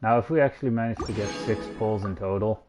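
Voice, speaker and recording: Male streamer, slightly higher-pitched voice, casual running commentary, clear game music underneath. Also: quick pace. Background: video game music.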